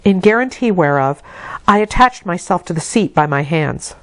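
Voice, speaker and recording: Low-pitched woman reading a novel aloud. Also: expressive, moderate pace, no echo. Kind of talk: expressive literary narration.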